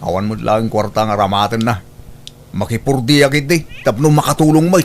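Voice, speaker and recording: An actor with a Filipino accent playing an irresponsible, argumentative and dismissive husband in a radio drama. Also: male voice, mid-range voice, steady tone, a little fast, slight background noise, natural voice. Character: irresponsible, gruff husband with vices, defensive. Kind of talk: Ilocano radio drama acting.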